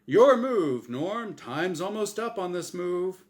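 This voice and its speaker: A man's voice: very animated, slow, heavily acted. A man voicing a gleeful, smugly cheerful angel game-show host with a big announcer delivery, in a story reading. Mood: smug, teasing. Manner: booming, exaggerated announcer delivery.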